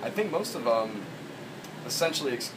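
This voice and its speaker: A man of average pitch speaks in a group discussion. He sits away from the microphone, with clear room noise around him. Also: big pitch swings, normal speed. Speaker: college instructor. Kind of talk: scripted group discussion read aloud. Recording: distant mic in noisy room.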